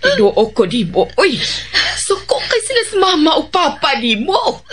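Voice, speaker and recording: An actress with a Filipino accent playing a gentle, fussing nanny in a radio drama, coaxing and full of interjections. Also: female voice, high voice, very animated, normal speed, music in the background.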